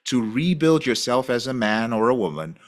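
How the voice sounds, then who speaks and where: A man who is a podcast guest, talking in an animated, casual way and acting out the voices he quotes. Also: mid-range voice, moderate pace. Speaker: podcast guest, recovery program founder.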